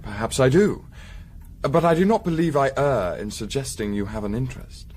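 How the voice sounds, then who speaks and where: An actor playing a composed gentleman investigator in a Victorian radio drama, speaking formally and measuredly. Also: medium pitch, lively intonation, normal speed, a little hiss or hum, close to an everyday voice. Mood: probing, cool. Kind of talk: period radio drama acting.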